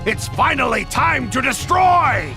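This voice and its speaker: A man voicing an arrogant, bloodthirsty villain in an anime game, theatrical and taunting, with a gravelly voice. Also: extremely high pitch, big pitch swings, measured pace, music in the background, heavily acted. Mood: menacing glee. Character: bloodthirsty, arrogant male villain itching to destroy.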